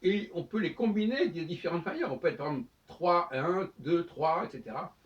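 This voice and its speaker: A man giving a spoken lecture on his own, talking in halting bursts with many restarts. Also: fairly high pitch, animated. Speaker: host. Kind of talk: lecturing monologue. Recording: dull sound, from far off the mic.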